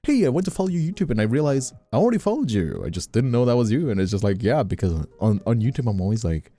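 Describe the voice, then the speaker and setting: A man chatting casually on a livestream, rambling and excitable, tending to talk over others. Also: medium pitch, fast. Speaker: streamer and voice actor.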